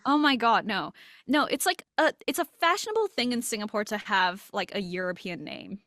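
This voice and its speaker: A woman's voice: medium pitch, lively intonation, moderate pace, bright, forceful tone, no echo, studio quality. A woman speaking casually as an interview guest, candid and self-deprecating, with some loose swearing. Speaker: interview guest, voice actor and illustrator.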